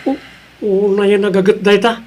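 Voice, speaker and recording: A man's voice: sits high, level tone, normal speed, darkish tone, close to an everyday voice. A man playing a helpful, easygoing friend who gives advice in an Ilocano radio drama, with an Ilocano Filipino accent. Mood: sympathetic. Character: helpful male friend of the husband, easygoing adviser.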